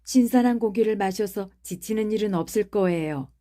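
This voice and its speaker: A woman's voice: medium pitch, a little fast, dark tone, pitched above the performer's own voice, close to an everyday voice. A woman voicing a sickly, imperious young boy who gives orders like a little prince, in an audiobook. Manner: commanding, demanding. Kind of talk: audiobook character reading.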